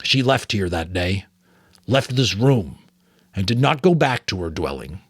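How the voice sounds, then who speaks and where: A man's voice: on the low side, sing-song, a little slow, husky, no room sound, studio quality, exaggerated voice. A man voicing a sorrowful, weary old mentor in a grave, faltering, earnest way, as in a storybook. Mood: heavy sorrow. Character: sorrowful, weary old male mentor confessing painful memories.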